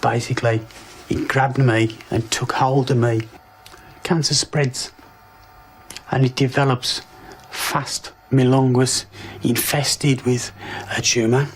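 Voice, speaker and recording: A man in his thirties giving a grave, halting personal testimonial. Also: medium pitch, flat delivery, slight background noise, low-quality recording. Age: 30s. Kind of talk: testimonial to camera.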